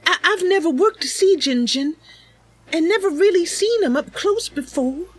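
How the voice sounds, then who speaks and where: Young female wood elf, put on by a woman, earnest, naive, high-pitched, animated, fantasy roleplay. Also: moderate pace, no echo. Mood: hesitant. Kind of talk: improvised in-character game roleplay.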